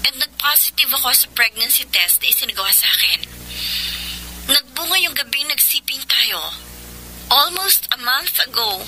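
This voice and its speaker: An actress playing a scheming, clingy pregnant young mistress in a radio drama, insistent and melodramatic, with a Filipino accent. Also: female voice, high voice, lively intonation, moderate pace, sharp tone, a little hiss or hum, full character voice, poor audio. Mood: emotional, confiding.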